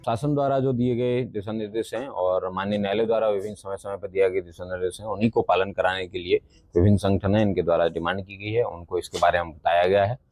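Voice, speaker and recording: A man giving a halting, bureaucratic official statement to the press. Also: sits low, steady tone, darkish tone, faint music behind. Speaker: government or police official.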